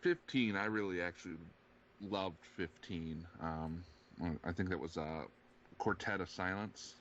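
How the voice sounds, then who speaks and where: A man with a very low yet sharp-edged voice chats casually as a stream co-host. His audio is dull, with a little noise underneath. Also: unhurried. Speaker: co-host on a fan live stream. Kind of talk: casual chat.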